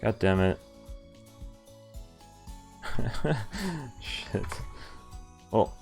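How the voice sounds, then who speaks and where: A man's voice: on the low side, very slow, vocal fry, a little mellow. A young man streaming a visual novel, reading its text aloud with casual, amused commentary over game music. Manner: casual, sweary, amused reactions.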